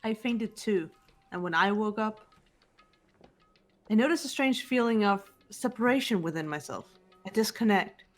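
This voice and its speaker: A woman voicing a calm, guarded teenage girl detective in a fan-dubbed game, speaking in a measured, reserved way. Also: mid-range voice, soft background music, lightly acted. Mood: pensive.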